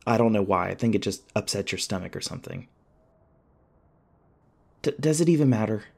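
A man playing a shaken, apologetic young human in an audio roleplay, speaking hesitantly with stammers and halting phrases. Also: on the low side, expressive, gravelly, a little mellow. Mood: incredulous, wary. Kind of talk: ASMR audio roleplay, naturalistic acting.

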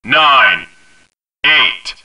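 An actor playing a male demolition announcer in a video game, echoing as if from a distant loudspeaker. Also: mid-range voice, expressive, extremely slow, heavily acted. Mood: flat, commanding.

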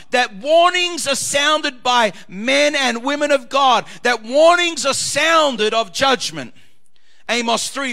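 Loud, passionate preaching pastor, bright and gravelly. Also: male voice. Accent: Australian. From Australia. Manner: loud, swings between shouted climaxes and low quiet asides. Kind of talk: passionate preaching with scripture readings.

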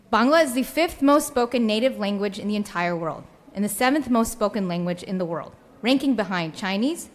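A young adult woman, a state senator, reading a prepared floor speech earnestly at a podium microphone. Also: medium pitch. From United States. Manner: earnest, read from notes. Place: large legislative chamber. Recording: long hall reverb in chamber.

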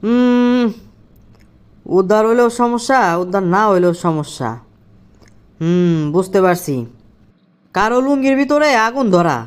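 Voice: medium pitch, animated, measured pace, long pauses, smooth, close to an everyday voice. A performer voicing a cheeky, literal-minded village job applicant in a comedy cartoon, with a Bangladeshi accent and eager, colloquial speech. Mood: confidently silly. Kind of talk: comic cartoon dialogue.

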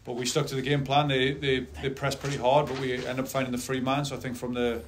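A middle-aged man speaking as a head soccer coach at a post-game press conference, measured and steady. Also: medium pitch, slight background noise. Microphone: table mic on a stand.

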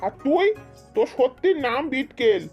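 A woman's voice: high-pitched, animated, normal speed, muffled recording. A woman acts out a hardworking, weary village farmer and father in a cartoon. He sounds rustic and plain-spoken. Music runs faintly underneath. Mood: stern, angry. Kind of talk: amateur cartoon dubbing.

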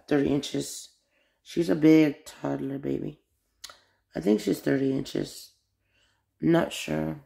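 A woman talks slowly and hesitantly into the phone she holds, her voice carrying a gravelly fry. She rambles in a casual home vlog, recorded in a small bedroom. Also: low-pitched, a little mellow. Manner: slow, hesitant, loses her words, nervous. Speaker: vlogger showing her doll collection. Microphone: phone camera held by the speaker, off screen.

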